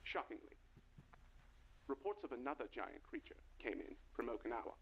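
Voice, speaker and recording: A man's voice: mid-range voice, very animated, brisk, a little hiss or hum. A man reading a scripted mock-documentary horror narration in a solemn, dramatic storytelling way. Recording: dull lo-fi analog-style radio sound. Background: clear music under the voice.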